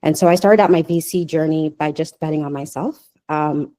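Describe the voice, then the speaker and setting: A woman's voice: low-pitched, normal speed, dark tone. A woman venture capitalist, born in Nigeria, with an American New Jersey accent, introducing herself on a panel in a composed, conversational way.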